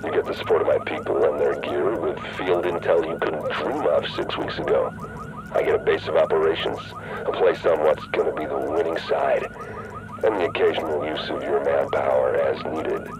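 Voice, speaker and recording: Very deep, calculating rogue agent. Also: male voice, fairly even intonation, normal speed, noisy background. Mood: smug, businesslike. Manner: persuasive, coolly self-assured. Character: cynical, calculating rogue agent, a power-hungry man. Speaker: rogue agent and faction leader. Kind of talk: cinematic video game acting.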